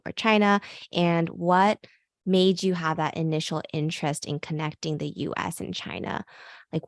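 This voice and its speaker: A woman's voice: deep voice, no room sound, studio quality. A woman from Los Angeles hosting an interview, effusive and enthusiastic in her questions. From United States (Los Angeles). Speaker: interview host. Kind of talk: casual interview.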